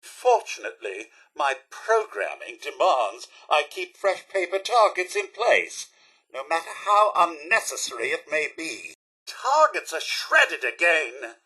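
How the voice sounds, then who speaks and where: A man playing a polite, fussy robot butler in a video game, with a British accent, a raspy edge and a formal, theatrical, deferential delivery. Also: fairly high pitch, very animated, a little slow, sharp tone, exaggerated voice, low-quality recording. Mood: exasperated. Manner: formal, deferential, crisply pompous.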